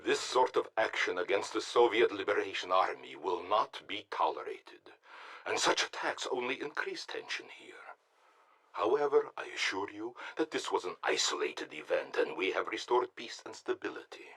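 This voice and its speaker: An actor playing a cold, menacing, commanding Soviet general in a video game cutscene. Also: male voice, on the low side, lively intonation, normal speed, fairly bright tone, slightly rough. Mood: stern, official.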